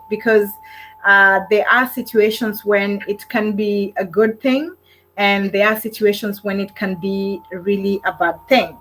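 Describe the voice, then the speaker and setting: A woman's voice: medium pitch, fairly bright tone, slight background noise, poor audio. Effusive woman hosting a casual live stream. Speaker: host of a live online relationship panel.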